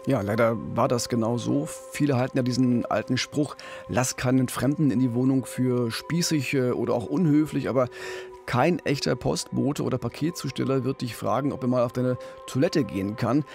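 A man's voice: medium pitch, moderate pace, creaky, a little mellow. Man telling true crime over music. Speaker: podcast co-host. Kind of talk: true-crime podcast storytelling in conversation. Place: radio studio. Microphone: studio microphone on boom arm with pop filter. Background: background music.

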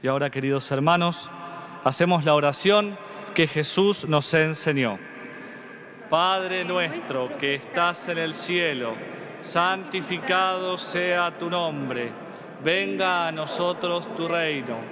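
A man in his thirties with an Argentine accent, a priest slowly reciting mass prayers at the altar. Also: on the high side, crowd noise. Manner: slow solemn recitation. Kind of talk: liturgical prayer. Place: large church. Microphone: stand mic at altar. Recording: long church reverb.

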